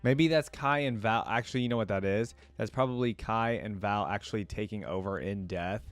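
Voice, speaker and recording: A young man reacts casually to game clips, musing aloud and echoing what he hears. His voice sits a little low. The sound is close and dry, with soft music in the background. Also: fairly quick. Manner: thinks aloud, echoes lines he hears. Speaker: video reactor.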